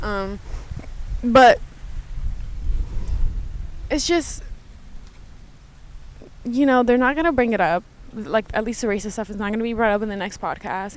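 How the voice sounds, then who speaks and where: A woman hosting a podcast alone, giving casual, candid commentary in a conversational way and swearing freely. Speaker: podcast host. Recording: noisy background, vehicle-like ambient rumble.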